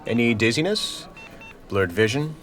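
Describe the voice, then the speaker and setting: Doctor asking questions in audio drama, authoritative, lively intonation, faint music. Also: male voice, mid-range voice, unhurried. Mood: probing. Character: calm, probing male hospital doctor. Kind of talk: radio drama acting.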